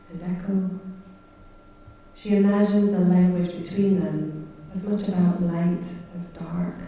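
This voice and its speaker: Slow, dark-voiced woman reciting verse. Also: fairly low pitch, slight background noise. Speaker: poetry reader. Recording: echoey hall from far off.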